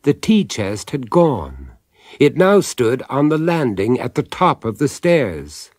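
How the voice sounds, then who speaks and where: An actor playing the measured, dramatic narrator of a radio horror drama. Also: male voice, mid-range voice, darkish tone, no room sound, clean studio sound. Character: measured storyteller of a supernatural tale.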